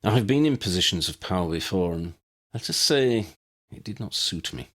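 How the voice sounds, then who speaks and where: A man acts out a wry, self-doubting uncle in a fantasy audiobook. He speaks formally in long, measured phrases, with a husky edge and varied intonation. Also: deep voice, expressive. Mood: wry, grave.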